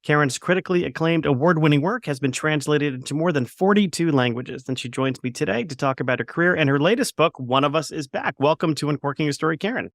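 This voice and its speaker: A man in his fifties from Florida hosting an interview podcast over a video call, friendly, with puns and halting restarts. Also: on the high side, dry recording, clean studio sound. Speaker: podcast host. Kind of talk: casual interview. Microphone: headset on video call.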